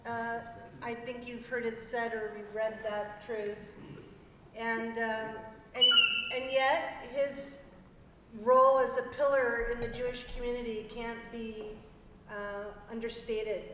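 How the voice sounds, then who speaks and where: A woman hosting a memorial lecture, introducing speakers in a gentle, slightly hesitant way. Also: on the high side, flat delivery, slow pace. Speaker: event host. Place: lecture hall. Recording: echoey hall from far off with noise.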